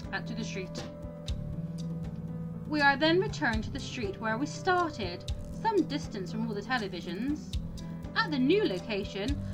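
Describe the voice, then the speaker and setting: Female let's player, expressive game reading with casual asides, high, very lively pitch over music. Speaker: let's player reading a visual novel aloud. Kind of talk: expressive dramatic reading with brief casual reactions.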